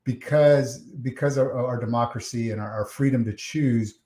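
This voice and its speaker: A man hosting a podcast interview, friendly and halting with many fillers. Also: medium pitch, dark tone. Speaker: podcast host. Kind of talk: casual interview. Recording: echoey room.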